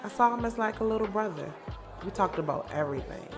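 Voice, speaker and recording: A sassy young woman tells her story in an audio drama, played by an actress. She is candid and conversational, full of slang and swearing, with a mid-pitched voice. Music plays clearly behind her. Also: animated, fast talker, natural voice. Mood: wistful, amused. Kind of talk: audio drama first-person narration and dialogue.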